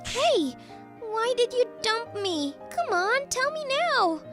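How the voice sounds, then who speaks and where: Woman acting very high-pitched bossy anime office boss. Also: lively intonation, normal speed, soft background music. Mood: drunk, pleading. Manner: commanding, needling. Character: bossy, teasing young female boss and jilted ex. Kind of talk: anime-style manga dub acting.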